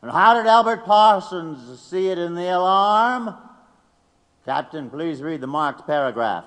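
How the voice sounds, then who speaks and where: Historical radio-play prosecutor played by a man: high, swooping declamation, forceful and theatrical. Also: expressive, unhurried. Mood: declamatory. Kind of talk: courtroom audio drama acting. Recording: long hall reverb.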